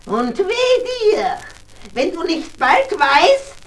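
A man playing a gleeful, spiteful little goblin in a fairy-tale radio play, with a shrill cackling voice and a taunting, gloating delivery. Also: sing-song, extremely slow, fairly bright tone, exaggerated voice. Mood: mocking, menacing.

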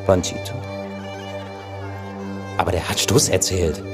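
An actor playing a wary, street-wise police informant in a German radio crime drama, evasive and colloquial. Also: male voice, deep voice, a little slow, music in the background. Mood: gossiping, dismissive.

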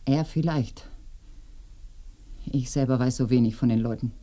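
An actress in a radio drama playing a lonely, remorseful, secluded village woman with a soft, breathy, brooding delivery. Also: rumbling low, a little slow. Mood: lonely, confiding. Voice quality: soft, breathy, often whispering. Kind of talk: intimate radio play acting. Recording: roomy binaural radio drama recording.

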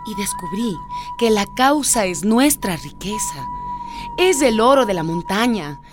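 Radio drama villager: solemn, reverent woman, highish lively voice, music underneath. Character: earnest village woman, grieving yet reverent and hopeful.

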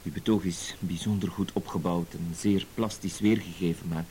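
Guy playing cool polite schemer in a radio play, deep mellow voice, dry and unbothered, slight tape hiss. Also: steady tone, moderate pace, natural voice. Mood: dryly polite. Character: laconic, courteous male schemer hearing out an accusation. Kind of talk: Dutch radio play dialogue. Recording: hissy old radio play recording.

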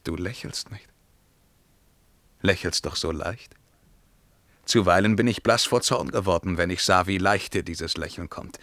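A male actor playing a brooding, lyrical emperor in a radio play, reciting verse solemnly and swinging between hushed murmurs and ringing outbursts. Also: lowish voice, dry recording. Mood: impassioned.

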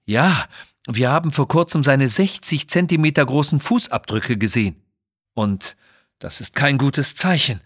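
Man voicing an earnest, cautious Sherpa guide, slightly husky. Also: medium pitch, animated, a little slow, a bit bright, no room sound, studio quality. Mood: warning. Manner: formal, earnest, warning.

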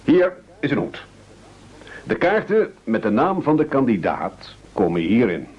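An actor playing a formal, commanding castaway gentleman in an absurdist radio play, measured and over-polite. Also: medium pitch, sing-song, slightly rough. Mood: brisk, official. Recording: dull lo-fi old radio recording.

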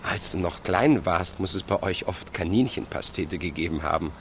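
A man's voice: deep voice, moderate pace, slight background noise. An actor playing a teasing, insistent village man of thirty in a radio drama, pushily friendly. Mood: sly, amused. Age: 30s.

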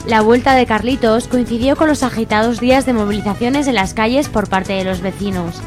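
A woman's voice: fairly high pitch, fairly quick. A woman reading scripted narration for a radio soap, with music behind her. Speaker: narrator of a community radio serial.

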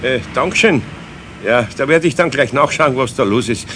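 An actor playing a good-natured middle-aged Bavarian master carpenter in a children's radio play, hesitant and flustered in speech. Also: male voice, medium pitch, expressive, moderate pace, sharp tone, slight background noise. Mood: polite, surprised. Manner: hesitant, stammering, evasive. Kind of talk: 1970s German children's radio play acting.

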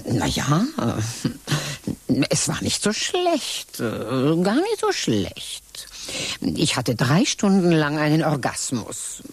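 A low, dry, cynical mother. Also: huge pitch swings, takes it slowly, breathy edge, full character voice. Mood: wry. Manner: dry, wry, drawling, with whispered asides. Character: worldly, cynical mother figure, adult woman. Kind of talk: radio play acting and first-person narration. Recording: music behind the voice.

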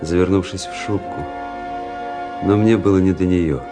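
An actor playing a weary, cynical young army officer who narrates a radio drama in a measured, introspective way. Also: male voice, low voice, fairly even intonation, close to an everyday voice. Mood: reflective, measured. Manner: introspective, world-weary, measured literary delivery. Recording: music under the voice.

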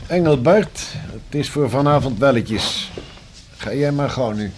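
Curt, formal gentleman, a male actor's role. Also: mid-range voice, animated, measured pace, close to an everyday voice. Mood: composed, curt. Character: curt, formal gentleman receiving policemen in the evening. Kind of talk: radio drama dialogue. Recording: noisy vintage radio play recording.

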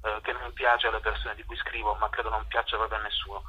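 A man's voice: lowish voice, flat delivery, quick pace, bright, forceful tone, husky. A man being interviewed as a guest playwright and actor, talking reflectively and searching for words, often repeating himself. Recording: dull lo-fi phone or radio line.

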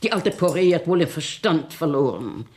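A woman's voice: deep voice, expressive, normal speed, darkish tone. An actress playing a strict, fussy old grandmother in a German radio play, scolding and bossy with emphatic theatrical delivery. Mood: indignant, stern.

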